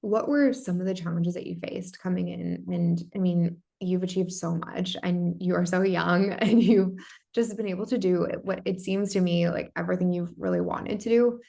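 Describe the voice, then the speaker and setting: A woman's voice: on the low side, steady tone, normal speed, gravelly, dark tone. A woman hosting a podcast, reading a scripted welcome and then interviewing her guest with gushing enthusiasm. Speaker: podcast host.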